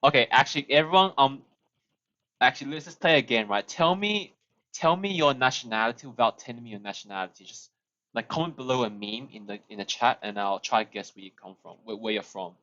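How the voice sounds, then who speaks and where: A man's voice: mid-range voice, brisk, pushed and bright, muffled recording. A young man, chatting while he codes on a live stream, thinking aloud, restarting phrases and chuckling often. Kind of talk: casual live-stream coding chat.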